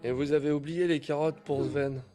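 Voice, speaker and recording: A man voicing a young, gruff, blunt mountain man in an animated film dub. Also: on the high side, moderate pace, over loud music, lightly acted.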